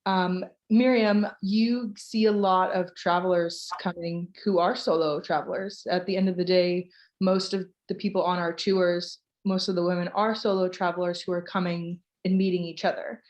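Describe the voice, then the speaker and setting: A woman's voice: fairly low pitch, steady tone, normal speed, hardly any pauses, a little mellow, highs cut off. An American woman from Florida, hosting a live online Q&A over a video call in a chatty, informal way and reading out listener questions. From United States (Florida). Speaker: host, tour ambassador.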